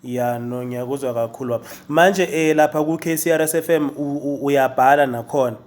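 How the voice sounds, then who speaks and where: A South African man hosting a casual interview, halting and repeating syllables as he forms his questions. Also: medium pitch. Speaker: interviewer, host.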